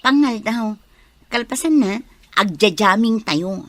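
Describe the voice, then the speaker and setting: A woman's voice: medium pitch, lively intonation, slow pace, dry recording. An actress playing a lovestruck young woman in an Ilocano radio drama, speaking with an Ilocano accent. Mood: wistful, friendly. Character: lovestruck young woman hosting her birthday drinking party.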